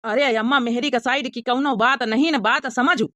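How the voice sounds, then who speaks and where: Casting: woman as protective young husband in cartoon comedy, Awadhi accent, high bright voice, reassuring and reasonable. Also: steady tone, moderate pace, no room sound. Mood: pleading, exasperated. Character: young married son, protective, generous and reassuring.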